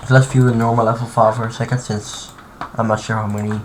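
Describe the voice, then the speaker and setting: A man's voice: mid-range voice, level tone. Man thinking aloud over typing noise. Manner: thinking aloud, halting, many fillers and restarts. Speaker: streamer. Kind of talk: casual live-stream commentary while modding. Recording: clear background noise and typing.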